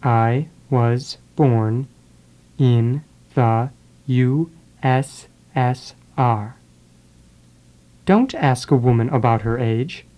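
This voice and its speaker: This voice leads an English lesson at a very slow pace, spacing every word apart so learners can follow. Its pitch sits in the middle range, and its delivery is careful and deliberate. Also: warm tone. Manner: deliberate, pausing after each word. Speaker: English teacher. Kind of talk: slow drill of phrases, repeating word by word.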